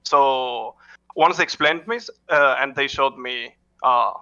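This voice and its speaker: Gravelly, bright-voiced man, halting speech. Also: medium pitch, highs cut off. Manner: halting, frequent "uh" fillers and restarts, non-native phrasing. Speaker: head coach, interview guest. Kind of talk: casual interview answers.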